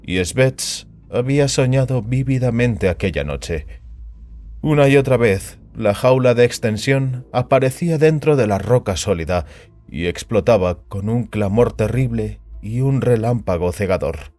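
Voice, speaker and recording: A man's voice: mid-range voice, animated, a little slow, darkish tone. A man reading a science fiction story aloud as a scripted, dramatic narration.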